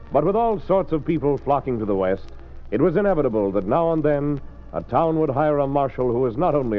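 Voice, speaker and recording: Male old-time radio narrator, sweeping declamatory delivery, muffled sound with organ music. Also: medium pitch. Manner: dramatic, declamatory. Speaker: announcer and narrator.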